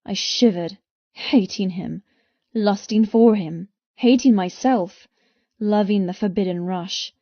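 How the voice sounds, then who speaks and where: A woman reading first-person romance fiction as an audiobook narrator, with intense, expressive delivery. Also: medium pitch, takes it slowly, dry recording, dull audio.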